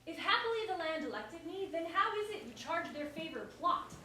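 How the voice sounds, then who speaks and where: A woman plays a witty, defiant common woman trading verse insults in a stage comedy. Her high voice swoops theatrically with biting scorn. It is heard far from the mic in a very echoey room. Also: lively intonation, a little fast, noisy background.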